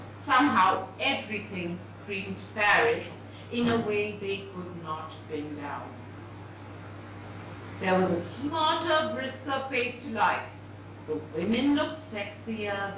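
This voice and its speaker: Woman reading a story slowly, highish voice, super echoey room. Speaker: reader. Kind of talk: dramatised literary reading, narration. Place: live reading at an indoor event venue. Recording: very echoey hall, distant mic, noisy.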